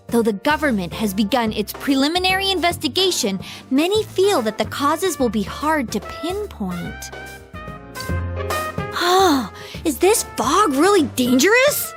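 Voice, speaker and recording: A bubbly teenage schoolgirl from an anime game, over faint music. Her voice is high and very animated, and she talks in a chatty, curious, expressive way. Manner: expressive, perky, conversational. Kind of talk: English anime game voice acting.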